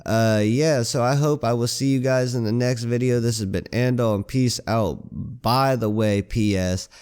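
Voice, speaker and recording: A man with a raspy voice from a cold, reading a horror story aloud as a channel narrator in a slow, grim way. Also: mid-range voice, fairly even intonation, darkish tone. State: hoarse with a cold. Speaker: creepypasta narrator.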